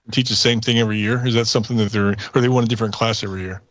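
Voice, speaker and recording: A man's voice: fairly low pitch, steady tone, rapid-fire, no room sound. A man chatting casually as a podcast co-host, starting hesitantly and then running on, on dull-sounding audio. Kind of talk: casual chat. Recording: dull, band-limited audio.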